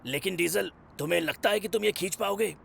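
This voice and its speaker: A man voicing a fussy, cautious freight worker in a children's cartoon, with a very creaky voice. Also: sits high, brisk, noisy background. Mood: doubtful. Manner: doubtful, cautioning. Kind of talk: animated children's cartoon dub in Hindi.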